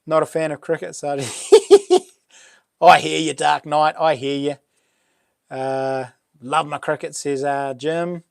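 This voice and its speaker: A middle-aged New Zealand man with a big, boomy voice talks loosely and at length, full of ums and restarts. His pitch sits a little high for a man. The sound is close and dry, with no room echo. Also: slow, gravelly. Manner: relaxed, rambling, many ums and restarts. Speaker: livestream host. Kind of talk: casual live chat reading comments.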